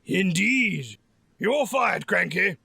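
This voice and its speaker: Man as a creaky, high-voiced imperious stallion manager. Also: expressive, takes it slowly, sharp tone, dry recording, full character voice. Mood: scornful. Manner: formal, imperious. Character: smooth-talking, stern male pony opera manager. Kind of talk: audio drama acting.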